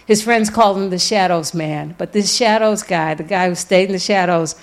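A woman giving a public lecture as an author, telling the story in an emphatic, deliberate way with repeated phrases. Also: fairly low pitch. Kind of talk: lecture with audience questions. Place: public lecture event, live before an audience.

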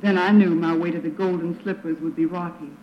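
A woman's voice: lowish voice, steady tone, a little fast, natural voice. Actress as a young girl narrating, echoey old radio drama. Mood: solemn, remembering. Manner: earnest, dramatic storytelling. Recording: echoey, muffled, noisy old radio recording.

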